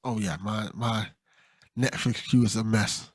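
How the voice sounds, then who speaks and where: A man's voice: on the low side, fairly even intonation, a little slow, dry recording, studio quality. A man hosting a casual podcast interview, with a playful dramatic delivery when announcing questions and an easy laugh. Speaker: podcast host.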